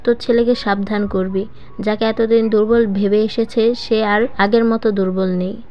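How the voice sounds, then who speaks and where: A woman's voice: medium pitch, flat delivery, normal speed, natural voice. A woman voicing an unyielding elderly grandmother in a story, blunt and mocking in manner. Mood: mocking warning. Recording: muffled lo-fi voice track with music.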